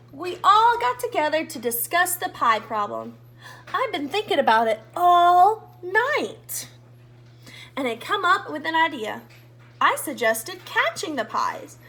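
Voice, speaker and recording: Very high-pitched woman reading a children's storybook. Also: very animated, measured pace, pushed and bright. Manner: addresses young listeners directly with questions. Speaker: read-aloud host, storyteller. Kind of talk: expressive children's storybook read-aloud.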